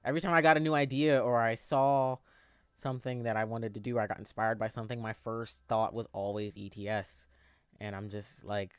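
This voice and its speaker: A man in his twenties chatting casually on a live stream, answering viewers in a rambling, halting way with lots of fillers and restarts. He has a youthful, boyish-sounding voice. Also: fairly low pitch, steady tone, clear, resonant tone, no room sound. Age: 20s.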